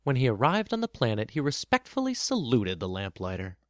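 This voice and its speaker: A man reading scripted storybook narration in an expressive storytelling manner. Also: mid-range voice, brisk, dry recording, clean studio sound.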